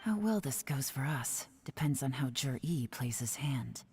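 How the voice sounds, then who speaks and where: A young male detective from a fantasy game. He is calm, wry and observant, with a light delivery. Faint noise and clicks sit behind the voice. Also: mid-range voice, unhurried. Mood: wry, composed. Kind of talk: video game voice acting.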